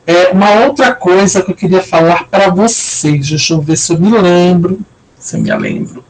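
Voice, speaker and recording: High-voiced teacher, rambling. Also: male voice, sing-song, moderate pace, creaky, a little hiss or hum, low-quality recording. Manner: rambling, many fillers and restarts. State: recovering from a cold. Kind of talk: casual online lecture.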